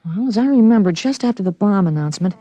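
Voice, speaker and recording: Eager boy of thirteen played by a woman, earnest radio drama son, animated pitch, very dry sound. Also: fast, no room sound, natural voice. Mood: confident, urgent. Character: thirteen-year-old boy, earnest son with a grown man's memory. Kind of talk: old-time radio drama acting.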